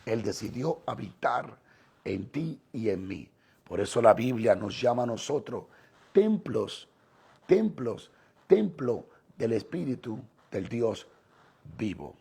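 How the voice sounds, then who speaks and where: A man with a Dominican Spanish accent preaching and teaching scripture in deliberate, emphatic, repeated phrases with pauses. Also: mid-range voice, very animated, creaky, a little mellow, slightly rough. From Dominican Republic.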